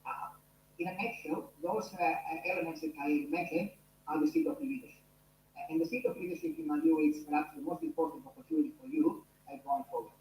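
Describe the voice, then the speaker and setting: A man's voice: high-pitched, sing-song, a little fast, darkish tone, reverberant, slight background noise, poor audio. Italian-accented man lecturing an online class. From Italy. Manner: earnest, many fillers and restarts. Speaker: university lecturer.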